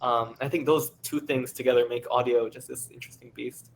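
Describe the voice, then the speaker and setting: A man's voice: medium pitch, steady tone, brisk. A man speaking as an audio director in a panel discussion, explaining his points earnestly. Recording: clear background noise.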